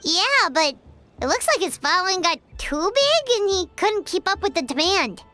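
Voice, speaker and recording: A woman voicing a small, excitable female fairy companion in a video game, with a squeaky childlike voice and a cheeky, dramatic manner. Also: moderate pace, soft background music, heavily acted. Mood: chatty, wry. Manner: exclamatory, chatty. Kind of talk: video game character acting.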